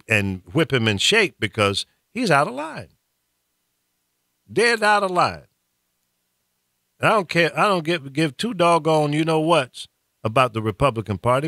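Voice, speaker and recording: Man, blunt talk-radio ranter: animated delivery, dry close sound. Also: mid-range voice, no echo. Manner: blunt, fiery, stammers and repeats words. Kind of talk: political rant on talk radio.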